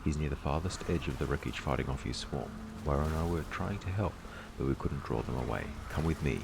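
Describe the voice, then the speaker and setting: A man playing a gruff, solemn war leader in a video game, grave and steady with a gravelly voice. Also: a bass voice, fairly quick, noisy background. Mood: urgent. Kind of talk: video game dialogue acting.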